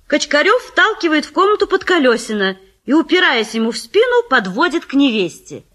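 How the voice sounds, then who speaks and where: High-voiced, very animated woman narrator. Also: a little fast. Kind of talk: scripted narration of stage directions.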